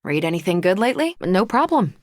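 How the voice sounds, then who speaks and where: Low-voiced, breezy superhero woman. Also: sing-song, a little fast, darkish tone, dry recording. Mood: casual, teasing. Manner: breezy, dry, teasing, self-assured. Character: confident, wry, friendly half-alien superhero woman soldier. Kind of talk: video game voice acting, conversational.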